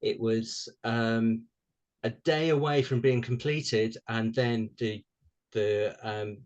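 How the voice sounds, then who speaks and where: Relaxed Welsh-born man chatting. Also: medium pitch, slow, lo-fi sound. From Wales. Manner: relaxed, with frequent ums and restarts. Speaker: guest author. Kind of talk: casual interview chat.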